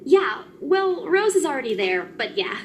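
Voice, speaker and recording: Young female estate agent, visual novel game, high-pitched, lively, sassy, informal. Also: a little slow, slight background noise. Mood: casual chatty. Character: Isabella, young woman estate agent, sassy but uneasy.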